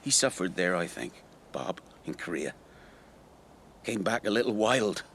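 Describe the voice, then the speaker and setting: An actor playing a rueful rural man in a naturalistic radio drama, telling his memories in a halting, conversational way. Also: deep voice, animated, fairly bright tone, a little hiss or hum, full character voice. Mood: earnest, hesitant. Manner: halting, reflective, conversational storytelling. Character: rueful rural man recalling an old friend's tragedy.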